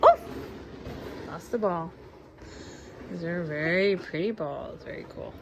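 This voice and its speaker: A woman in her thirties reading a storybook aloud in an animated, drawn-out way, breaking off to chat with young children. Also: fairly low pitch, background noise. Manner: animated, drawn-out delivery with exclamations. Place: at home among young children.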